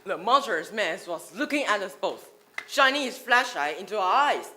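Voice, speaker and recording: A woman's voice: medium pitch, expressive, normal speed, heavy background noise. A female performer telling a story theatrically in a readers theater style. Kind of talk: dramatic readers theater narration.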